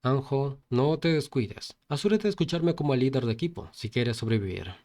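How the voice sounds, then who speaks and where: A man's voice: medium pitch, animated, fairly quick, dark tone, close to an everyday voice. A man voicing a young male team leader who gives firm orders in a story. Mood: commanding.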